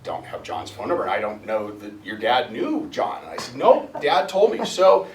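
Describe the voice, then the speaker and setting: A man in his fifties giving a halting, earnest storytelling talk as a guest speaker at a spiritual center. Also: medium pitch, wildly swooping pitch, slight background noise. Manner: halting, many restarts and fillers, earnest. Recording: echoey room, mic at a distance, faint ambience.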